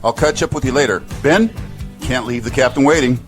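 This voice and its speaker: A man's voice: medium pitch, fairly quick, faint music behind, natural voice. A man playing an eager, affable army sergeant in an audio drama. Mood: eager, friendly. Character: eager, affable male sergeant greeting old friend.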